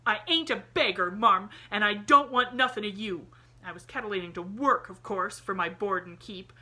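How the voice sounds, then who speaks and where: A woman's voice: medium pitch, lively intonation, normal speed, sharp tone. Woman doing a proud ragged orphan boy. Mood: indignant. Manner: rough rural dialect, dropped g's and double negatives.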